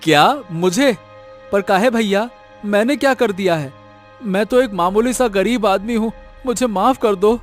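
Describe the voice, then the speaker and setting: A man's voice: high voice, unhurried, faint music behind. A man playing a hot-tempered, blustering village donkey owner who turns cowardly when scared, in a moral-story cartoon. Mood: frightened, pleading. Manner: blustering, scolding. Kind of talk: animated Hindi moral-story dramatization.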